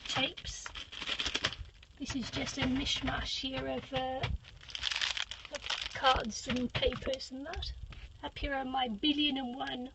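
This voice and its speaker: An older woman with a squeaky voice giving a rambling, hesitant craft room tour from a small boat cabin, filming on a handheld phone. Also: heavy background noise. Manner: rambling, hesitant with many fillers, giggly. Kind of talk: casual live-stream tour.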